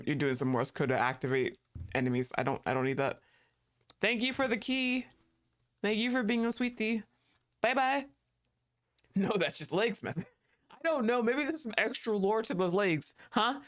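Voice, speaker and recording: Low-voiced woman streamer, teasing. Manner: chatty and teasing, laughs often, talks to the game. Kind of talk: casual gaming commentary.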